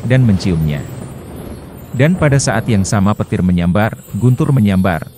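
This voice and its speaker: A man with a low, mellow voice reads a bedtime fairy tale for children. His delivery is lilting, and his phrases often lift at the start. Faint music plays beneath him. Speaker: storyteller. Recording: soft music underneath.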